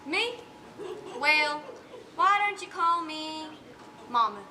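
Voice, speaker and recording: A woman acting a gruff, hard-bitten farm wife on stage, blunt and rustic in her speech, projecting to the house. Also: high voice, expressive, slow pace, pushed and bright, heavily acted. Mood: firm, wry. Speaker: student actor in a one-act play. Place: indoor stage, recorded live. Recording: roomy stage recording, faint background noise.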